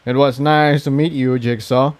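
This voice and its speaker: A man voicing a calm, kindly old male pony priest in a storybook reading. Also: mid-range voice, moderate pace, natural voice. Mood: kindly, grave.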